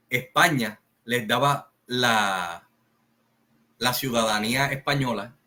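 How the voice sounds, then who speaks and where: A middle-aged man from Rico, giving a slow, opinionated monologue full of pauses and fillers. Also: medium pitch, bright, forceful tone. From Puerto Rico. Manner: slow, deliberate, repetitive, with many pauses and fillers. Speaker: commentary channel host.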